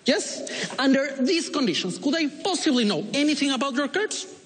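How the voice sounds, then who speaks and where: A man with a Latin American Spanish accent delivers playful magic patter in a big reverberant hall. His voice sits very high and swings animatedly in pitch. He is deliberate and courteous, and there is some noise behind him. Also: big pitch swings, fairly bright tone. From Ecuador. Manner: deliberate, playful, polite, with pointed pauses. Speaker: stage magician. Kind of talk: stage magic patter to volunteers. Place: TV show stage in a large hall. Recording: echoey stage hall with noise.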